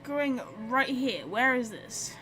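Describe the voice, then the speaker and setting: A woman's voice: high-pitched, animated, takes it slowly, sharp tone, soft background music. A woman streaming a game with casual, chatty commentary, reacting and talking to herself as she plays. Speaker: gaming streamer.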